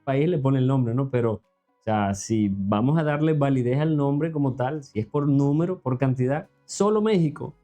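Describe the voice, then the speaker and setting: Mellow-voiced man chatting. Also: mid-range voice, normal speed, soft background music. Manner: chatty, reasons aloud with many tag questions. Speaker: co-host reacting to a video. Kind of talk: casual reaction chat.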